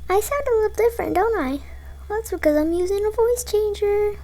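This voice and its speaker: A woman using a pitched-up voice changer to sound like a girl in a casual video. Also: fairly quick, dark tone. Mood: playful.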